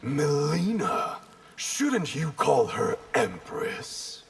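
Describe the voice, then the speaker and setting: A man's voice: fairly high pitch, huge pitch swings, drawn-out words, heavily acted. A man playing an arrogant, cold and ambitious ninja assassin in a video game, speaking formally and with haughty, clipped disdain. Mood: cold, sly. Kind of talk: video game character acting. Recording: clear noise behind.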